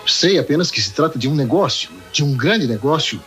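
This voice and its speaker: An actor playing an earnest, lovestruck young man in an old-style radio soap, with dramatic pauses. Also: a little high, animated, a bit bright. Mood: troubled. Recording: radio play with music underneath.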